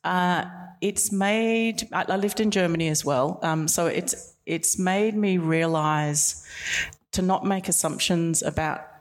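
Low-voiced woman, thoughtful and measured. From England. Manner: thoughtful, with frequent ums and restarts. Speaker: guest on a school radio show. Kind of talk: reflective interview answers. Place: school radio studio.

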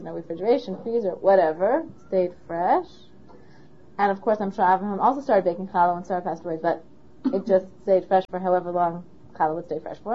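Woman, Torah teacher, patient questioning delivery, dull recording. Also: mid-range voice. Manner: asks the listener questions, patient. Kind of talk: explaining a Torah lesson.

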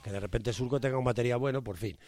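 A man's voice: medium pitch, very fast, darkish tone. A man from Segovia with a Castilian Spanish accent, hosting an interview in an informal, rambling way. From Segovia, Spain. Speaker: interviewer, host.

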